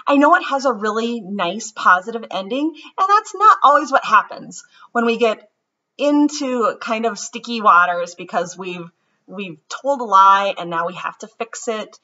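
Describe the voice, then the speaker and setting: Woman, children's read-aloud, expressive, bright tone, lo-fi audio. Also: fairly high pitch, normal speed. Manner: expressive storytelling, gentle and reflective when talking afterward. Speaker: storybook reader. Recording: dull lo-fi recording.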